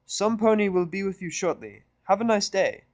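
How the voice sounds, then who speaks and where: A man voicing a cheery male pony restaurant greeter with a crisp, formal manner. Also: high voice, lively intonation, moderate pace, voice pushed up. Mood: cheery, courteous.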